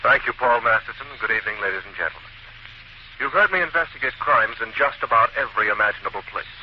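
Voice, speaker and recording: An actor playing a genial, composed gentleman detective who narrates cases in a 1940s radio drama. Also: medium pitch, expressive, quick pace, close to an everyday voice. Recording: dull, noisy old radio broadcast.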